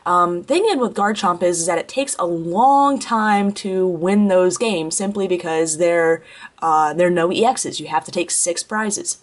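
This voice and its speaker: A woman's voice: on the low side, a little slow. A young woman with a head cold and a congested voice, chatting casually through a tournament recap, full of ums and self-corrections. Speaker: card game player recapping a tournament. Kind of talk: casual spoken tournament report.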